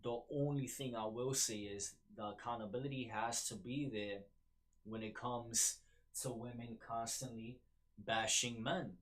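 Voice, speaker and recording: A man's voice: mid-range voice, steady tone, measured pace, a bit bright, poor audio. A man with a New York accent hosting a solo podcast, rambling with many fillers and restarts. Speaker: podcast host.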